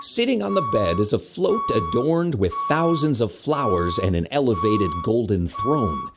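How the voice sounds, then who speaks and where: Casting: dramatic storyteller, mid pitch, hugely expressive, scripted narration over prominent music. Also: male voice, unhurried. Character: dramatic narrator of a teen adventure tale.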